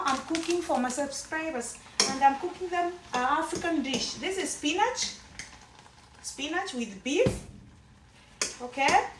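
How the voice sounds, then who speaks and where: A woman's voice: extremely high pitch, noisy background. A woman with a Kenyan accent, chatting casually and rambling a little while she cooks in her home kitchen. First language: Kenyan mother tongue. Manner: chatty, rambling, with frequent restarts. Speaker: cooking vlogger. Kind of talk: casual cooking demonstration. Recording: echoey room, mic at a distance.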